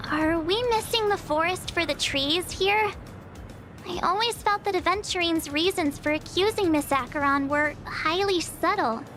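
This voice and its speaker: A woman voicing a cute, spirited, impulsive young girl in a video game story, with expressive delivery. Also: very high voice, normal speed. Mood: curious, eager. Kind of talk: scripted game story dialogue. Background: background music.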